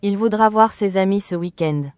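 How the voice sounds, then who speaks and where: A voice reading short phrases slowly and evenly for learners, carefully enunciated and repeated. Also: mid-range voice, a little mellow, smooth, no echo. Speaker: French phrase reader.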